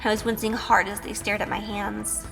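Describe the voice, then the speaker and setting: A woman's voice: mid-range voice, flat delivery, moderate pace, gravelly, fairly bright tone, big-room sound. A woman playing a timid, sheltered young woman in an audio drama, hesitant and anxious. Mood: uneasy, reflective. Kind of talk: audio drama acting and first-person narration. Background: music.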